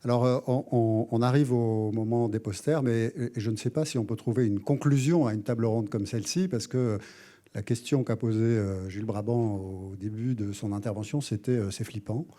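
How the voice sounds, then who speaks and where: A man's voice: lowish voice, fairly even intonation, moderate pace, dark tone. A man moderating a round table off the cuff, searching for his words as he wraps up. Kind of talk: unscripted moderating talk.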